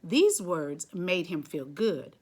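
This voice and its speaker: A woman reading a children's picture book aloud, phrasing deliberately and stressing key words. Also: lowish voice, sing-song, darkish tone, slightly rough.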